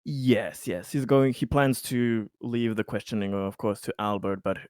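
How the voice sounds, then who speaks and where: A man chatting as a player in a tabletop role-playing game, halting and often repeating his words. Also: on the low side, a little mellow, clear, resonant tone, dry recording. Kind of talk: casual game-table chat.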